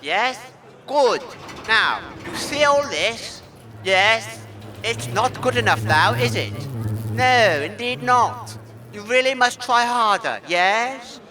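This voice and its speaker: A man's voice: very high voice, animated, takes it slowly, music in the background, exaggerated voice. A man voicing a bossy, haughty wasp overlord in a comic audio drama, scolding in a prim, condescending way. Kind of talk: comedic audio drama acting. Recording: faint outdoor crowd behind.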